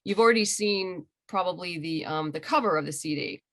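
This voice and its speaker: A woman with a fairly low voice talks as a guest in a casual interview over a video call. She sounds enthusiastic about her creative work and speaks in a conversational, unscripted way. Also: fairly quick, fairly bright tone. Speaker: interviewed comic book writer and audio drama creator.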